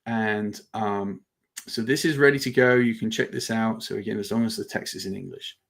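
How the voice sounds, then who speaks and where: A man giving an informal live software demo as a webinar presenter, halting and thinking aloud as he goes. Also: on the low side, steady tone, gravelly.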